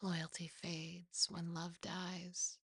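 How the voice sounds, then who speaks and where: A woman voicing a sinister, possessive demon in a hushed, hissing, taunting way for a horror ASMR story. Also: on the low side, completely flat delivery, creaky, husky, no echo, studio quality, heavily acted. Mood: tender, luring. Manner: slow, taunting, seductively cruel.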